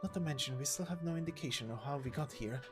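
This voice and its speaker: A man's voice: a little high, fast, soft background music, close to an everyday voice. A man voicing a wary, street-smart fighter from a game story, speaking in a blunt, clipped, matter-of-fact manner. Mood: guarded, dry. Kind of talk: video game story voice acting.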